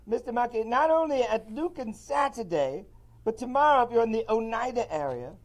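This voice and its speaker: A high-pitched, fussy male monkey who manages a band and brags about limos and big decisions, as a man puts him on. He dodges every question with polished politeness. The voice sits well above a normal male register and stays loud and lively. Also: a little fast, poor audio. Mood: chatty. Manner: boastful, evasive, overly polite. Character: shady smooth-talking male monkey manager. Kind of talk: comic character bit on radio. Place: radio studio.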